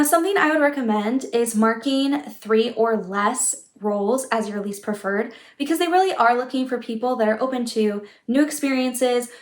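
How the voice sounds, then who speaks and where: Casting: young female advice vlogger, encouraging delivery, slightly high voice, clearly echoey room recording. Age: young adult. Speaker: vlogger giving application advice. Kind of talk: friendly advice vlog to camera. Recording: roomy, echoing room sound.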